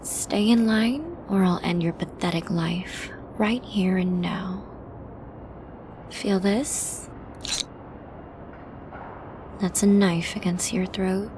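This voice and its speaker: A woman's voice: medium pitch, steady tone, slow, background noise, lightly acted. A woman playing a flirty, dominant villain who taunts a hostage in an ASMR roleplay, teasing and menacing, often dropping toward a whisper. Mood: menacing, hushed. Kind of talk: ASMR roleplay audio drama.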